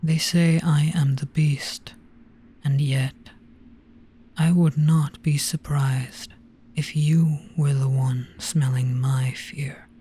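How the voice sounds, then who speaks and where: A performer voicing an ancient, gentle, weary monster in a hypnotic roleplay, speaking very slowly in a grave, breathy hush. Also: mid-range voice, level tone. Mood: solemn, gentle. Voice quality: breathy, whispery. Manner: very slow, hushed, grave.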